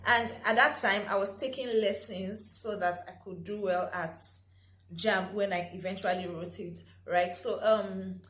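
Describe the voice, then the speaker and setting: A woman's voice: mid-range voice, steady tone, measured pace, fairly bright tone, reverberant. A young Nigerian woman with a Nigerian accent, casually vlogging to her viewers, chatty, laughing easily and tagging sentences with "right?". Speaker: YouTuber. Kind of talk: casual vlog talk.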